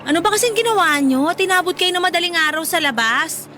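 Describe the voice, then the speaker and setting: A blunt, teasing young woman in a radio drama. Her voice is very high and bright, with a sarcastic, scolding edge. Faint noise sits behind her. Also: animated, fast talker, heavily acted. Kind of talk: Filipino radio drama acting.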